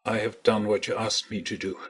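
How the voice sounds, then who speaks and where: A man voicing a stern, reluctant gentleman scientist in a dramatic audiobook, cold, curt and controlled. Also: on the low side, level tone, a little fast, breathy edge. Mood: stern, grim.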